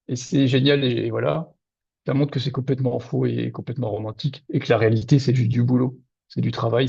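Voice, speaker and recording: Gravelly older man on a video call. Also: mid-range voice. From Moselle-Est, France. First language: Lorraine Franconian (Platt). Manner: hesitant, many restarts, animated. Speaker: author. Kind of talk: casual literary discussion. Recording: dull lo-fi video call audio.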